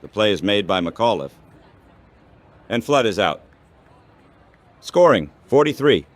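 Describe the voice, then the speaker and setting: A man calling baseball play-by-play in measured, clipped phrases, like scripted radio commentary. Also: fairly low pitch, sing-song.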